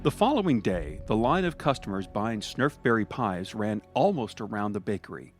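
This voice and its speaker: A man's voice: fairly low pitch, big pitch swings, normal speed, faint music behind. A man reading a scripted comic story as its narrator, in the style of a storytelling podcast.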